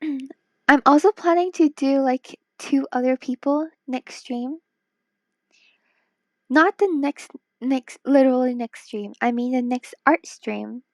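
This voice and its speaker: A woman's voice: high voice, slow, dry recording. A woman from Hong Kong chatting casually on a late-night stream, tired and worn-voiced, playful and teasing, sometimes dropping to a whisper. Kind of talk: casual live-stream chat while drawing.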